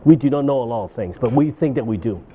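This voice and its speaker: Mellow-voiced older man lecturing. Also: medium pitch, animated, fast talker, slightly rough. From Hong Kong. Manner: rambling, with frequent "uh" and "okay?" tags. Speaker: guest lecturer. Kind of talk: medical conference lecture. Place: banquet hall.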